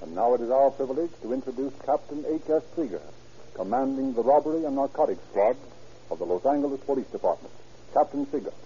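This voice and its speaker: A man's voice: medium pitch, expressive, quick pace, dark tone, a little hiss or hum. A man announcing in 1930s radio style, selling with an emphatic, formal delivery. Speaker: announcer and sponsor pitchman. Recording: dull vintage radio recording.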